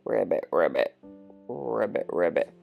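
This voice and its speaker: A woman's voice: very deep voice, big pitch swings, unhurried, creaky, phone-quality sound, voice pushed down, heavily acted. A woman voicing sleepy pond frogs in a children's storybook, in drowsy rhythmic rhyme. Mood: soothing. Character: low, sleepy pond frogs croaking lullabies. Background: background music.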